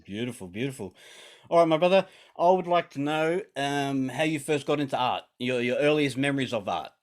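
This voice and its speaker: A man hosting a casual interview, laid-back and encouraging with quick affirmations. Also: medium pitch, lively intonation. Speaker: podcast host.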